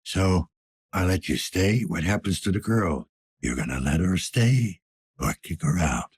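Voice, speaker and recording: Very husky, low, weary detective. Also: male voice, expressive, unhurried, heavily acted. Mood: wry, weary. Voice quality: gravelly, husky, breathy rasp. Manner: world-weary, terse, dry. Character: weary, gruff older male cyberpunk police detective. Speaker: voice actor in a video game. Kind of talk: scripted game character dialogue.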